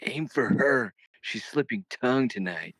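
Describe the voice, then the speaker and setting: Casting: male actor as crude teen party bro, forceful and jeering, dry close sound. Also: medium pitch, lively intonation, measured pace, slightly rough, dry recording, full character voice. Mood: mocking. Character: loud teasing stoned teenage party guy. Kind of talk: film script table read.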